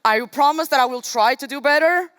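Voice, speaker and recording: A woman's voice: high-pitched, fairly quick, fairly bright tone, dry recording, clean studio sound. A young woman from Portugal, giving a casual, self-deprecating live-coding talk on a conference stage. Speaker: conference speaker.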